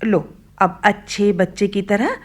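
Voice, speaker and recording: A woman's voice: mid-range voice, slow. A woman acting an anxious, devoted mother in a radio play, coaxing and pleading with worry. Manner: coaxing, imploring.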